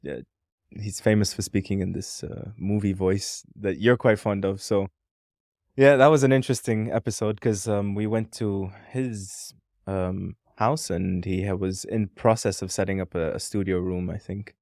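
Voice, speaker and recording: A man's voice: fairly low pitch, fairly even intonation, normal speed, a little mellow, no room sound, studio quality. A man co-hosting a podcast, reminiscing in a thoughtful, meandering way with frequent "um"s. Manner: thoughtful, rambling with many "um"s. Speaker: podcast co-founder and co-host. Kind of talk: casual podcast conversation.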